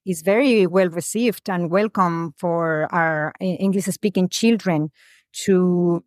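A woman from Spain, a researcher answering interview questions in a measured, thoughtful way, pausing often. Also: on the low side, dry recording, studio quality. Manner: measured, thoughtful, frequent "um" pauses. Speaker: guest, education researcher.

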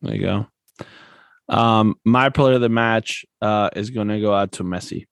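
A man co-hosting a casual sports podcast, relaxed and chatty, laughing often and filling pauses with "um". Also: on the low side, gravelly, clear, resonant tone, no echo. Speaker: podcast co-host.

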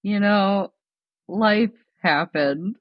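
A woman's voice: medium pitch, very slow, no room sound, lo-fi sound. A woman co-hosting a casual chat podcast, laid-back and drawling, asking her co-host questions.

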